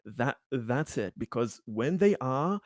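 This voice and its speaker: Casting: man as pragmatic male colony official for tabletop roleplay. Mid-pitched, earnest, wordy, halting and emphatic. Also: expressive, darkish tone, dry recording, studio quality. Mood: earnest, exasperated. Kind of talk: improvised tabletop roleplay dialogue.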